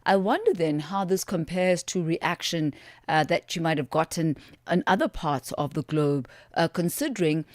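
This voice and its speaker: A woman radio host with a notably low voice puts long, formal questions to her guest. Her voice is dry and close to the mic, without room echo. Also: animated, moderate pace. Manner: formal, with filler "uh" and "um". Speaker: radio business show host.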